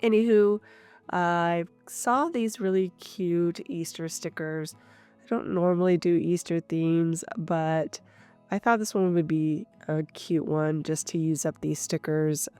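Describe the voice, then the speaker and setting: Woman, nasal and stuffy, slightly rough, calm explanatory voice-over, faint guitar music behind. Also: lowish voice, slow. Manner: calm, explanatory, thinking aloud. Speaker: planner channel host. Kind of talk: voice-over narration of a craft session. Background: soft guitar music.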